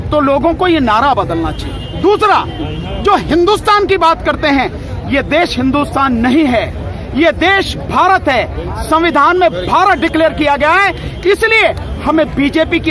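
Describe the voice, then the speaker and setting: Impassioned man at an outdoor protest, high-pitched. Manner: forceful, rhetorical, repetitive emphasis. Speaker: protest supporter interviewed. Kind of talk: impassioned street interview. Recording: loud crowd outdoors.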